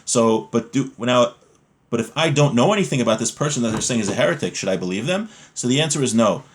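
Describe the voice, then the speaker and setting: A man's voice: medium pitch, expressive, fast talker. A man giving an informal religious lesson, reading from a text and explaining it in plain words, often asking questions and answering them himself.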